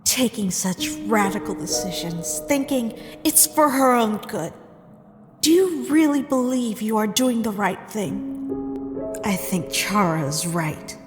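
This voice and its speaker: A woman plays an indignant young princess in a comic drama, wounded and accusing. She speaks slowly and emphatically, drawing out her words, with long reverb and music behind her. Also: mid-range voice, animated. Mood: stern, disappointed. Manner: accusing, emphatic, drawn-out delivery. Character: betrayed, indignant young princess confronting a friend. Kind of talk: dramatic comic dub acting.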